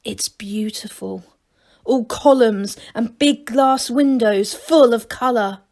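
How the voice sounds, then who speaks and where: A woman voicing an excitable, boastful young male gnome adventurer who babbles with breathless enthusiasm. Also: mid-range voice, takes it slowly. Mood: awed boasting.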